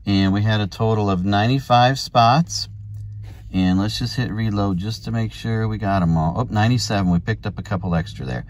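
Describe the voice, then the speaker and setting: Low-voiced middle-aged American man, folksy vlogger. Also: fairly quick, slight background noise. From United States. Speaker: ham radio hobbyist and video host. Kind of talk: casual explanatory vlog. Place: outdoors in an open field, partly inside a parked car.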